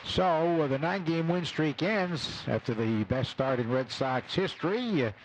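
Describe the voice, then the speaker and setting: A man commentating after a baseball game on radio in halting, broken phrases. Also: fairly high pitch, expressive. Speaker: baseball broadcaster. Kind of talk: unscripted postgame commentary. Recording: faintly noisy radio broadcast.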